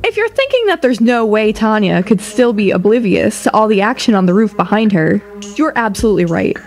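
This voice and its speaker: A woman's voice: mid-range voice, big pitch swings, fairly quick, a little mellow. A woman narrating a scripted audio drama as a wry, articulate storyteller. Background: music.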